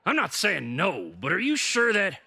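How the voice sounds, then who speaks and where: A man voicing a cynical old newspaperman in a comic dub, sardonic and blustering, with a gravelly, raspy voice. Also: sits high, huge pitch swings, normal speed, pushed and bright, exaggerated voice. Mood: skeptical. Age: older.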